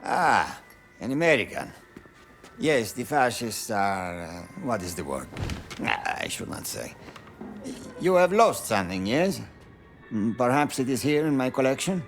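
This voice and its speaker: A man's voice: mid-range voice, sing-song, measured pace, faint music behind. A sly Italian dealer haggles with wildly swinging intonation in a mid-range voice. He is theatrical and teasing, a coy salesman from a cinematic game, with an Italian accent.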